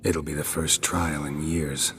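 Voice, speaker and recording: An actor playing a world-weary, stoic monster hunter in a fantasy game, gravelly and terse. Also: male voice, extremely low pitch, flat delivery, unhurried, fairly bright tone, in a large hall, full character voice. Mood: dry, curious. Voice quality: gravelly, husky. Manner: terse, understated.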